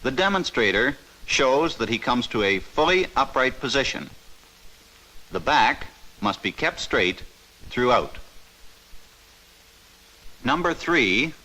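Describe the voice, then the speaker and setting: Male narrator, 1950s instructional film style, bright forceful voice, formal, measured, mid-range pitch. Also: low-quality recording.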